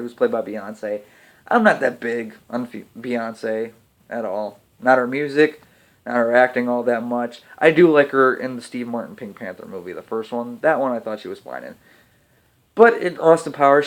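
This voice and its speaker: A man giving a casual video film review, chatty and hesitant with frequent pauses. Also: medium pitch. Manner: hesitant, lots of ums and restarts, chatty. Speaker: movie reviewer.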